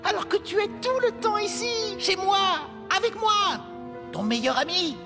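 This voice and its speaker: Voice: extremely high pitch, big pitch swings, moderate pace, heavily acted. An actor voicing a clever little talking dog in a children's cartoon, formal and precise in wording, reproachful and jealous. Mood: indignant. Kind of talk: animated children's cartoon dubbing. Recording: loud music behind the voice.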